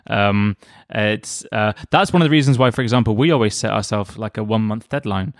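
A man co-hosting a relaxed music talk show, musing with lots of fillers and restarts. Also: fairly low pitch, fairly quick, dry recording, studio quality. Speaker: podcast co-host. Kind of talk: relaxed conversational chat.

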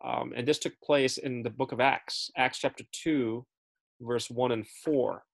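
A man's voice: mid-range voice, fairly even intonation, unhurried, vocal fry. Chatty man leading a Bible study. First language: English. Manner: chatty, checks in with listeners often; frequent fillers and self-corrections. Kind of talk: casual Bible teaching.

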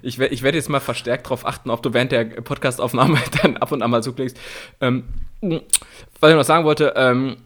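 Animated young man spinning silly bits. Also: mid-range voice, normal speed. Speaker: podcast co-host. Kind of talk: casual comedic chat. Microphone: remote call recording.

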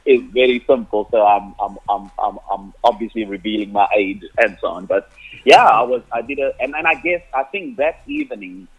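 A man's voice: fairly low pitch, moderate pace, breathy edge, phone-quality sound. A man, an Afrikaans speaker telling his story as a musician guest in a rambling way, full of restarts. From South Africa, Cape Flats. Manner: rambling, many restarts, laughs often. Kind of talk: casual interview answers.

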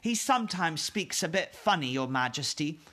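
A man voicing an excitable little girl from a children's storybook, eager and pleading in a childlike way. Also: on the high side, normal speed, pitched above the performer's own voice. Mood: helpful. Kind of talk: children's storybook read aloud.

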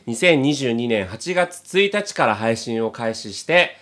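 A man's voice: mid-range voice, animated, measured pace, a bit bright. A man hosting a podcast and reading scripted narration as its presenter.

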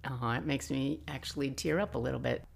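A woman in her sixties with a low-pitched voice hosts a storytelling podcast. She reads her personal memoir expressively, with a reflective turn and wry asides. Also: steady tone, fairly quick, vocal fry. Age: 60s.

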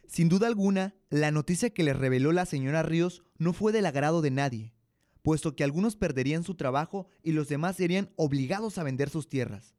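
A man's voice: fairly high pitch, brisk, a little mellow, no echo, clean studio sound. A young man with a Mexican accent narrating a scripted radio drama. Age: young adult.

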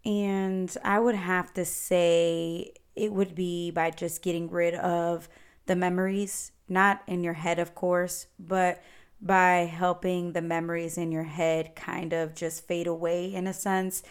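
Slow-talking woman, slightly raspy. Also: fairly low pitch, little pitch movement. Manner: chatty, encouraging, advice-giving. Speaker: podcast host. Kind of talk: solo podcast, answering listener questions.

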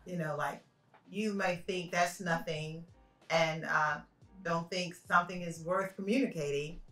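A woman's voice: lowish voice, steady tone, a little slow, fairly bright tone. A woman in her fifties, a mother guest on a family podcast, explaining her views thoughtfully. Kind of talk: casual podcast chat. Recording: roomy, distant mic, faint music.

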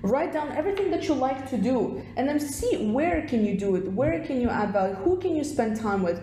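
A young woman from Russia, a podcast guest talking in quick, animated bursts with plenty of fillers. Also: high voice, reverberant. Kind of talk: casual interview chat.

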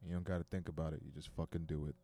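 A young man with a deep voice, guesting on a casual podcast and telling stories with plenty of swearing. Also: rapid-fire, slight background noise. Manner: animated storytelling, heavy swearing. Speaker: podcast guest and stand-up comic. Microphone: handheld microphone.

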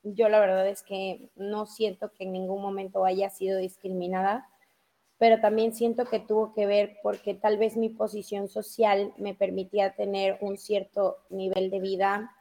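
A woman's voice: mid-range voice, mellow. A woman from Mexico with a Mexico City accent, hosting a casual live news chat and talking freely with many fillers. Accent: Mexico City Spanish. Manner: talkative, many fillers and self-interruptions. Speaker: host.

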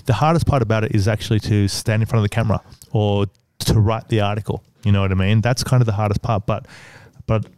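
A man's voice: on the low side, fairly even intonation, fast, few pauses, darkish tone, smooth, no room sound. A man with a stutter, chatting as a podcast guest in a hesitant, filler-heavy way. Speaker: guest, business owner. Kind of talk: casual podcast conversation.

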